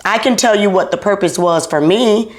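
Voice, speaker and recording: Excited, loud middle-aged woman in an echoey room. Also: mid-range voice, moderate pace, very noisy. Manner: enthusiastic, repeats herself, calls herself loud. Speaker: podcast host. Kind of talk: casual podcast chat. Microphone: not wearing her clip-on mic. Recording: roomy echo.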